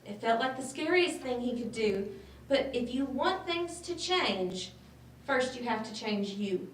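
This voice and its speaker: Expressive woman reading aloud in a roomy space. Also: a little high, measured pace. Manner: expressive, dramatic phrasing. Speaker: storybook reader. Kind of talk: children's story read aloud. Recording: roomy, distant mic, faint noise.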